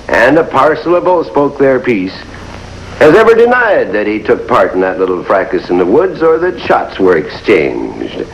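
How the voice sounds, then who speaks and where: Swooping, oratorical country lawyer. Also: male voice, mid-range voice. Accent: rural American. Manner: oratorical, drawn-out emphasis. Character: folksy, glib country defense counsel pleading smoothly. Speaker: actor. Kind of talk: 1950s television drama acting. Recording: faintly noisy old TV soundtrack.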